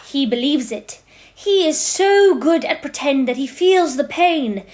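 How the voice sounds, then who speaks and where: A woman playing a knowing storybook narrator in an audio drama, telling it in an expressive, literary way. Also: high-pitched, a little slow. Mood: solemn.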